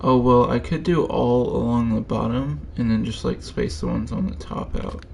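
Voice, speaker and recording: A man's voice: medium pitch, steady tone, normal speed, creaky, darkish tone, a little hiss or hum. A man giving casual gameplay commentary, thinking aloud and muttering to himself in short fragments. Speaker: streamer.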